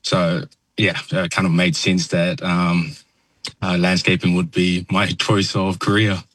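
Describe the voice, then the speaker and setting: A young man from New Zealand with a New Zealand accent, a soft-spoken, halting radio interview guest who fills pauses with ums. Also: low-pitched, little pitch movement. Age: young adult. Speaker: guest landscaper.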